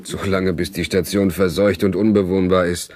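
An actor playing a bored, sardonic cynic who mutters sarcastic remarks in a science-fiction radio drama. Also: male voice, deep voice, level tone, normal speed, a little mellow, lightly acted. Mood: dry, cynical. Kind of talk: 1970s radio drama dialogue.

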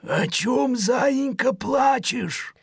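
A performer voicing a bold grey wolf with a loud, howling delivery in a children's fairy-tale audio play. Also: high-pitched, lively intonation, very slow, gravelly, husky, no room sound, clean studio sound, heavily acted. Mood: brash comforting.

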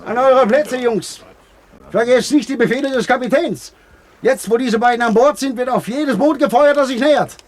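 An edgy ship's mate in a radio play. He barks urgently in a raised, high voice, stern and on guard. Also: normal speed. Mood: stern, ordering. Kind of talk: German radio play acting.

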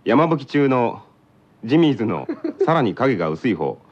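A man's voice: medium pitch, very animated, normal speed. Self-deprecating man guesting on lo-fi radio. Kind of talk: casual radio guest chat. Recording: dull lo-fi radio sound.

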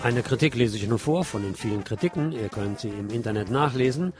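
A man's voice: mid-range voice, expressive, brisk, loud music behind the voice. A man reading scripted film commentary as host of an alternative radio programme.

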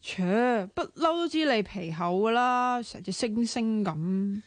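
A woman's voice: fairly high pitch, expressive, measured pace, dry recording. An actress playing a cheeky, grumbling young woman in a radio drama, with a Hong Kong Cantonese accent. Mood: petulant. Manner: teasing, petulant.

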